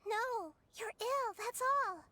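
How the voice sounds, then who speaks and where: An actress playing a gentle young goddess in a game, speaking in a soft, measured and earnest way. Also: female voice, very high-pitched, noisy background. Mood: pleading. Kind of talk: dramatic game voice acting.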